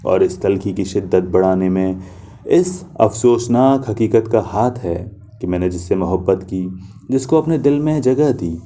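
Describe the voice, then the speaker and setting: A young adult man tells a literary story in the first person, reading slowly and reflectively. His voice sits low and sounds very dark and mellow. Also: slight background noise.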